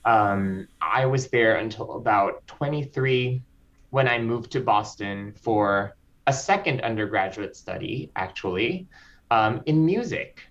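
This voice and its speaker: A man from the Philippines, a composer guest speaking slowly and reflectively, with frequent ums. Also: fairly low pitch, fairly bright tone. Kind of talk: interview conversation. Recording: slightly dull lo-fi audio.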